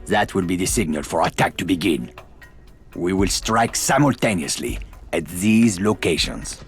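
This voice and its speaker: An actor playing a proud, determined resistance leader in a video game, speaking in a solemn, commanding way. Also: male voice, sits low, animated, moderate pace, soft background music. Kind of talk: dramatic video game acting.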